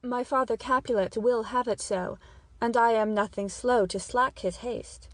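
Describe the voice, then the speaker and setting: A woman's voice: a little high, steady tone, normal speed, no room sound, lightly acted. Woman playing courtly young nobleman, dry close sound. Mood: courtly, assured. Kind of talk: Shakespearean dramatic reading.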